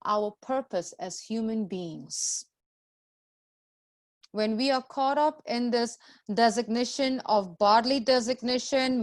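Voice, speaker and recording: Woman, high-pitched voice, very slow pace, spiritual teacher, didactic, repetitive phrasing, rhetorical questions. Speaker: spiritual teacher leading a group class. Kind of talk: scripture lecture with questions to the group.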